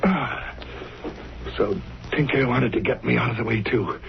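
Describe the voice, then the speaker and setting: Rattled gravelly crook. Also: male voice, medium pitch, unhurried. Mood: groggy, vengeful. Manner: slangy, stammering, agitated. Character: cowardly, double-crossed crook, blustering and easily rattled. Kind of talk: 1930s radio acting. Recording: noisy, dull vintage radio recording.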